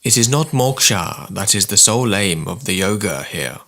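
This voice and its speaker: A man reading spiritual writings as scripted narration, in a measured, formal and deliberate manner. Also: fairly low pitch, expressive, a bit bright.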